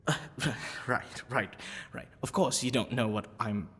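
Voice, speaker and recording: Man playing a husky, smitten half-dragon librarian. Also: medium pitch, fairly bright tone. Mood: sheepish. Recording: long reverberant echo.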